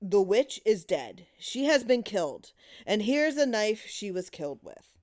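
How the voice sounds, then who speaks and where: A woman's voice: medium pitch, sing-song, a little slow, darkish tone, voice pushed up. A woman voicing a sinister husband in a horror story, intoning a macabre game theatrically and with ritual care. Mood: ominous, theatrical. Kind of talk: dramatic horror story reading.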